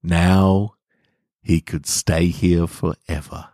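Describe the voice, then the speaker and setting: A man hosting a story podcast, speaking with measured, deliberate phrasing. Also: low voice, little pitch movement, very noisy. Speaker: podcast host and story reader. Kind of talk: literary story narration and informal sign-off.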